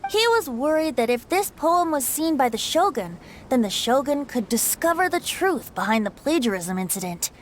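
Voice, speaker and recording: A woman's voice: sits high, slight background noise. A woman voicing a playful young male bard in a game, teasing and patiently explaining. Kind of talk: game cutscene dialogue.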